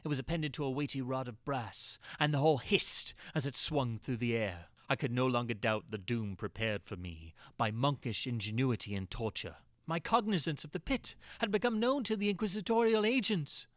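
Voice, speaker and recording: A man's voice: mid-range voice, lively intonation, normal speed, hardly any pauses, no echo, studio quality, voice pushed down, natural voice. A man playing a tormented prisoner recounting his agony in a theatrical, anguished way with archaic diction, in a gothic horror reading. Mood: frantic terror.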